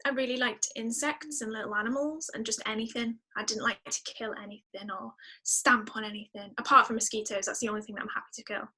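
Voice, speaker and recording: A woman speaking as a podcast guest in a casual interview, chatty and expressive, often exclaiming. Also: on the high side, fast, few pauses, poor audio.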